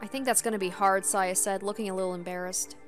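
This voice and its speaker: A woman with a medium-pitched voice gives a dramatic reading of a first-person story. Faint music runs behind her narration. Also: steady tone, fast. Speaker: gaming video host.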